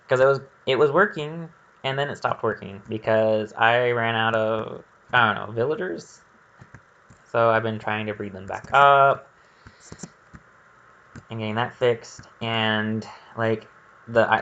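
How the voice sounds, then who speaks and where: A man's voice: fairly low pitch, fairly bright tone, lo-fi sound. A man giving casual, rambling gameplay commentary, thinking aloud in a candid and hesitant way. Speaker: gaming video creator.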